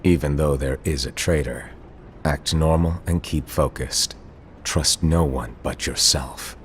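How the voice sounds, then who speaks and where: An actor playing an eccentric, theatrical, playful master in an animated fantasy game cutscene, with a whimsical, dramatic manner. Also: male voice, medium pitch, a little hiss or hum. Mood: dry, instructing. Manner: whimsical, dramatic, mock-petulant, drawn-out delivery.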